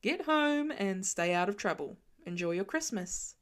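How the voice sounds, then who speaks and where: A woman's voice: medium pitch, expressive, measured pace, close to an everyday voice. A woman voicing a confident, wisecracking grown man who talks in a dry, teasing way. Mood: wry. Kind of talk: audiobook fan reading.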